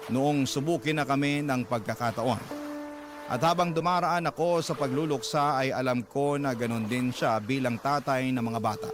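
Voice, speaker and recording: Filipino guy doing dramatic radio story narration, mid pitch, music under him. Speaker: radio drama narrator reading a letter in first person. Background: music bed.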